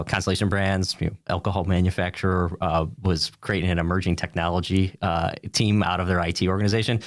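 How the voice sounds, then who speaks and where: A man's voice: low-pitched, dry recording, clean studio sound. An American man answering casually as an interview guest, rambling along with plenty of "you know" and "like". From United States, upstate New York.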